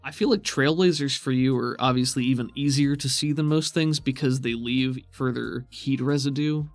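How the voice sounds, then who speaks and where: A man's voice: medium pitch, moderate pace, no echo, faint music behind. A man working as game master, casually improvising descriptions for a tabletop game in a conversational way.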